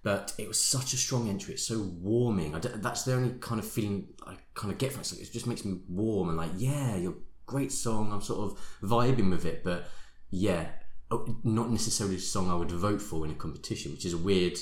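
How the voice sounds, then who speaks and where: Deep male co-host, eager and rambling, roomy recording. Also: brisk, few pauses. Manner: enthusiastic, restarts and hedges. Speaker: podcast co-host. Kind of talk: casual music review chat. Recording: roomy echo.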